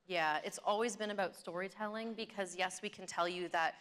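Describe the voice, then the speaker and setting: A woman's voice: mid-range voice, fairly quick, a bit bright. A woman speaking earnestly as a corporate marketing panelist, explaining things in business language. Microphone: event stage microphone.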